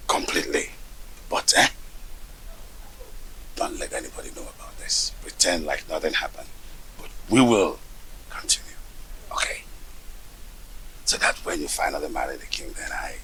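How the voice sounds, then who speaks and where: A man's voice: very deep voice, expressive, normal speed, pauses often, pushed and bright, heavily acted, poor audio. An actor playing a scheming man who whispers his secret plans with sly little laughs. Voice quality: gravelly, whispering.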